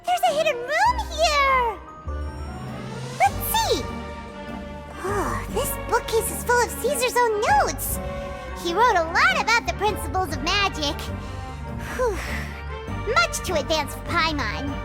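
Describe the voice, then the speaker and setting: Game fairy companion, very high and lively, drawn out, excitable, music bed. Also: female voice, takes it slowly, pauses often, heavily acted. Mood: excited. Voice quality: high, squeaky, childlike. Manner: excitable, exclaims often. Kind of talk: video game voice acting.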